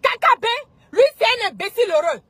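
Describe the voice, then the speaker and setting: Ivorian female commentator, piercingly high and sharp, indignant and repetitive, for an impassioned political rant. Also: lively intonation, a little fast, no room sound. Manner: indignant, emphatic, repetitive, mocking. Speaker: online commentator.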